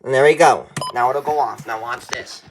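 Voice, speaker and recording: A man with a mid-pitched voice talks through a gadget tutorial. There is clear noise and some clicking behind him on the handheld camera. His delivery is informal, folksy and rambling. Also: normal speed. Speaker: tutorial presenter. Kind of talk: casual hands-on gadget tutorial.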